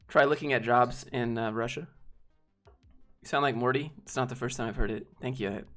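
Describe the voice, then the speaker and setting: A soft-voiced man from the American South, chatting casually on a live stream, rambling with fillers and frequent laughs. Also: mid-range voice. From Southern United States. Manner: relaxed, rambling, laughs often, many fillers. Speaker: streamer and host.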